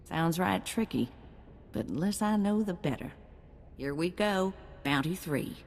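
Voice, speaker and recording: Spirited game bounty handler: folksy and colloquial, slightly low, in a reverberant space. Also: female voice, animated, measured pace, a little hiss or hum. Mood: wry, businesslike.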